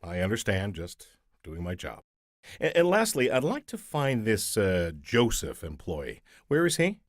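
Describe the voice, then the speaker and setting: An actor playing a dry, officious auditor in a comedic audio drama, formal and deadpan in his wit. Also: on the low side, a little slow, no room sound. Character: dry, officious male auditor, stiff and exacting.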